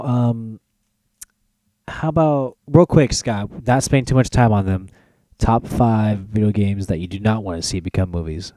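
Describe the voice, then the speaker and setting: A man's voice: on the low side, warm tone, no echo. A man hosting a casual podcast chat, talking in an informal, agreeable way. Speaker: podcast host.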